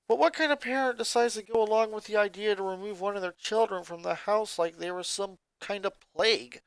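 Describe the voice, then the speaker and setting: A woman narrating a fanfiction story aloud in a calm reading manner. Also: mid-range voice, a little fast, clear, resonant tone, dry recording, poor audio. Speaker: fanfiction reader.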